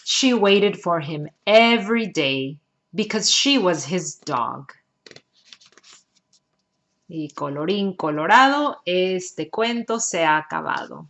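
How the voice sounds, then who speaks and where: A woman's voice: lowish voice, lively intonation, takes it slowly, a bit bright. A woman who is a native Spanish speaker, narrating a children's read-aloud storybook. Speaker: storyteller reading to young children.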